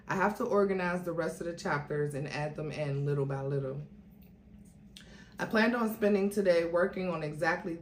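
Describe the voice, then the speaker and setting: A woman reading a story aloud in a dramatic, theatrical way, as a host would. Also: low voice. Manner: theatrical, acts out quoted dialogue. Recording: roomy echo with faint noise.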